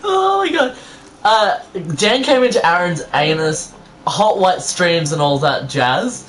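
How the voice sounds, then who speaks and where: A man with a fairly high, animated voice, reading fan fiction aloud as a casual co-host. He is halting, exasperated and sweary, with faint noise in the room behind him. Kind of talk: casual banter and amateur read-aloud. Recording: noisy room background.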